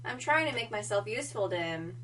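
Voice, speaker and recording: A woman's voice: medium pitch, lively intonation, brisk, a bit bright, lightly acted. A woman playing an eager, clumsy young pegasus helper in an audio drama, gushing, perky and apologetic. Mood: pleading, adoring. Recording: distant mic, noisy, humming.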